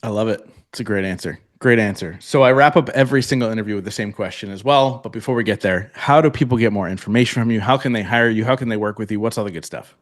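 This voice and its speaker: Fast-talking upbeat podcast host, a man. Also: lowish voice. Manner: upbeat, friendly, calls guest "man". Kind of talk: casual interview hosting.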